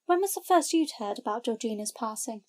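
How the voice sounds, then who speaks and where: A woman's voice: on the high side, animated, fairly quick, darkish tone, natural voice. A woman playing an earnest, sympathetic field reporter in an audio comedy news parody. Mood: earnest, grieving.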